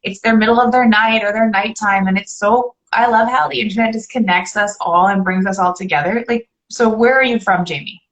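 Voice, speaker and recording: A woman's voice: medium pitch, a little fast, few pauses, a bit bright, poor audio. A woman from Canada hosting a live online interview, chatty and upbeat, often repeating herself. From Canada (Alberta). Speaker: host. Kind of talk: casual live video interview.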